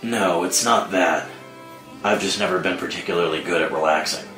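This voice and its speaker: A man playing a brooding, guarded vigilante in a screenplay table read, speaking in an understated, measured way. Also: low voice, fairly bright tone. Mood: guarded, wry. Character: Bruce, brooding guarded adult male vigilante softening in love. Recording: roomy echo under dramatic score music.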